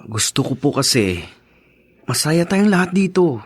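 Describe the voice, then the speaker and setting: An actor playing a concerned, dutiful married son in a Filipino radio drama, respectful and troubled. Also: male voice, fairly high pitch, big pitch swings, measured pace, breathy edge, exaggerated voice. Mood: gently probing.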